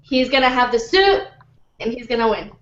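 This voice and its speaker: A woman with a high voice shares her opinion in a casual group discussion, often repeating herself as she finds her words. The sound is roomy and somewhat dull. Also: lively intonation, moderate pace, a bit bright. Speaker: discussion panelist.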